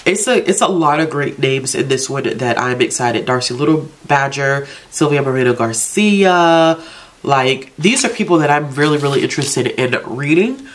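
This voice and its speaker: A woman's voice: a bass voice, animated, normal speed. A woman with a congested, stuffed-up voice, hosting a casual book vlog and chatting in a self-chiding way about books she means to read. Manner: chatty, self-chiding, talks herself into reading. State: congested from allergies. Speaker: book vlogger, channel host.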